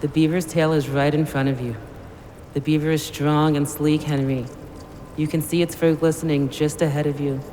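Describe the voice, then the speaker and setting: A man's voice: a little high, flat delivery, moderate pace, mellow, a little hiss or hum, lightly acted. Man acting a beckoning spirit in long reverb. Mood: solemn, luring. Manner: solemn, coaxing. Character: gently beckoning, otherworldly male spirit guide. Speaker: actor in an audio play. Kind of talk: naturalistic audio drama acting. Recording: long cavernous reverb over music. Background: music.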